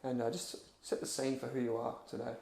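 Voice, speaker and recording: A man hosts a podcast, firing off questions at a brisk clip with a gravelly, creaky edge to his voice. He nudges his guest along with short encouragements, and the room around him rings with echo. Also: medium pitch, level tone, a little mellow. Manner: quick prompting questions with short encouraging replies. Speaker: podcast host, interviewer. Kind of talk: casual podcast interview. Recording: echoey room.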